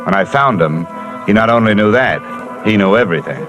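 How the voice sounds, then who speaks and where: An actor playing a wry, hard-boiled insurance investigator who narrates and trades dry wisecracks in a 1950s radio drama. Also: male voice, lowish voice, animated, brisk, over music, lightly acted. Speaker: narrator and lead. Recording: hissy old radio broadcast.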